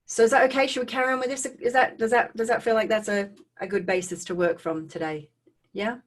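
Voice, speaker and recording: A middle-aged woman with an Australian accent giving a personal talk to online viewers in a conversational way, with animated asides and checking in with her listeners. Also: fairly high pitch, fast talker. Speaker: speaker hosting a live online talk on trauma recovery.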